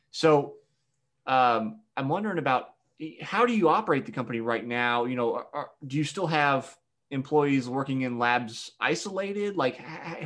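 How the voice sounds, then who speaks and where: A man hosting a podcast, asking relaxed, reflective questions with plenty of fillers. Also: mid-range voice. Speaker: podcast host. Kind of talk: podcast interview.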